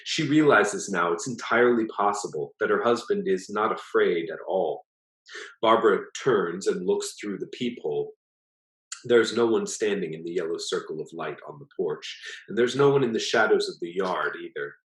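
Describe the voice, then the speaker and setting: A man reading a literary short story aloud as its author, in a measured, plain storytelling delivery. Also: deep voice, lively intonation, a bit bright. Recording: echoey, roomy sound.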